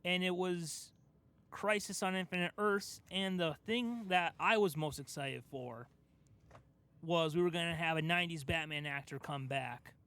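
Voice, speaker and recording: A man's voice: fairly high pitch, unhurried, sharp tone, clean tone. A young adult man hosting a casual pop culture podcast, talking through his argument step by step with emphasis. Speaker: podcast host.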